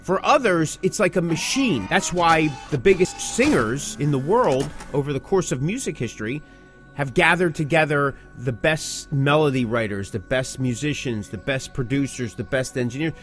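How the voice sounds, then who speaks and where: A man's voice: on the high side, lively intonation, moderate pace, fairly bright tone, faint music behind. A man co-hosting a podcast in casual discussion, speaking emphatically and posing rhetorical questions. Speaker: podcast co-host. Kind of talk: casual music-industry discussion.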